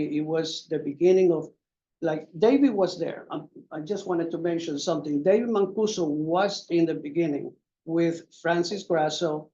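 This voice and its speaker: Older male panelist, slow and halting, dull lo-fi room recording. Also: on the high side, mellow. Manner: halting, earnest storytelling. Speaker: panelist, veteran DJ. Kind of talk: reminiscing at a panel. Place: conference room.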